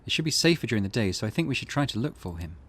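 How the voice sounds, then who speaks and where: A man voicing a calm, analytical young woman investigating curses in a visual novel game. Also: on the low side. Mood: serious, measured. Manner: measured, analytical.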